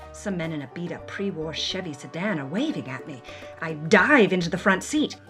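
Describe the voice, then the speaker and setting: A woman's voice: on the low side, fairly bright tone. A woman voicing a witty, adventurous actress who reads her own journal in a theatrical, wry, breathless way. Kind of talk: dramatic journal narration.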